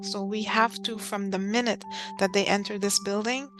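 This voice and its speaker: A woman's voice: medium pitch, fairly even intonation, normal speed, over music. Heartfelt woman in her fifties, from Guyana. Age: 50s. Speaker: guest. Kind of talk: personal storytelling in an interview.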